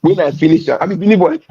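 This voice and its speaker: A Nigerian man with a gravelly, husky voice, slightly higher than most men. He talks in an emphatic, repetitive way and drops into pidgin. The recording sounds dull and lo-fi. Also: mellow. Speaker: comedian. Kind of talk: candid conversational chat.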